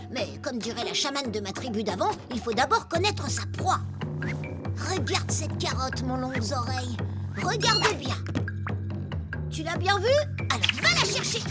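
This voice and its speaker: Very high-pitched brash little caveboy. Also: female voice. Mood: encouraging. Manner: boisterous, exclaiming in mock-caveman oaths. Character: brash, food-loving prehistoric caveboy in a modern family. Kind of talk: French cartoon dubbing.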